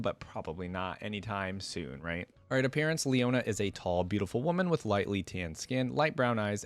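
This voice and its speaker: A man's voice: on the low side, no echo. A man hosting a reaction video, chatting informally and enthusiastically as a fan and reading text aloud. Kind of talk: casual reaction commentary mixed with reading wiki text aloud.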